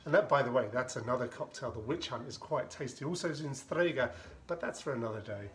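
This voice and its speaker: A man's voice: mid-range voice, expressive, fast, slight background noise. A man casually explaining how he makes a cocktail at home, chatting as he mixes, with rambling asides and dry humor. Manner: narrates while mixing and shaking drinks. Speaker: home bartender making a cocktail.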